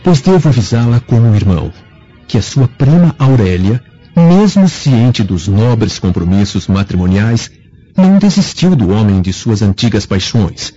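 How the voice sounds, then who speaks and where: An actor playing an earnest, flattering young freedman with formal courtesy and a scheming streak in a radio drama. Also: on the low side, unhurried, slightly rough, faint music behind, exaggerated voice. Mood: confiding. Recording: dull lo-fi radio drama recording.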